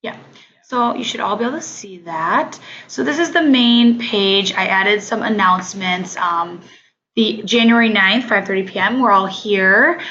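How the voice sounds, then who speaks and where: A hoarse woman coordinator walks trainees through a webinar, her tone bright and forward in spite of the sickness. She talks haltingly, with plenty of fillers between phrases. The sound is dull and lo-fi, like a computer mic on a call. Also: mid-range voice. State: hoarse from illness. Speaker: off-campus study coordinator.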